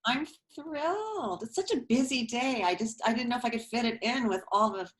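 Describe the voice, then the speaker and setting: A woman's voice: on the high side, animated, normal speed, a little hiss or hum. A middle-aged woman from Colorado, a stage actress chatting as a guest over a video call from her bedroom, rambling with restarts as she tells stories. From Colorado, USA. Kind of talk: casual interview chat. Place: bedroom at home.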